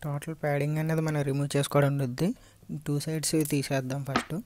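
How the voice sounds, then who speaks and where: A man's voice: a little high, little pitch movement, fast talker, darkish tone, a little hiss or hum. A man with a Telugu accent giving an unscripted, step-by-step hands-on tutorial as a vlogger. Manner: explanatory, step-by-step. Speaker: vlogger reviewing and installing gear.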